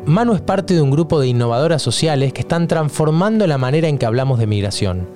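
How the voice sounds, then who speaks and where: A man narrates a documentary podcast from a script, steady and informative. His voice sits somewhat high for a man. A faint guitar plays softly behind him. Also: fast. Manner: even, informative delivery.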